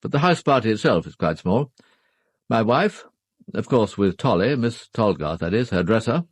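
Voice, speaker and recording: An actor playing a formal, upper-class British knight in a radio drama, speaking in a clipped, matter-of-fact way. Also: male voice, lowish voice, very animated, moderate pace, husky, dry recording, clean studio sound. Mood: brisk, businesslike. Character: formal upper-class husband, a titled knight hiring a detective.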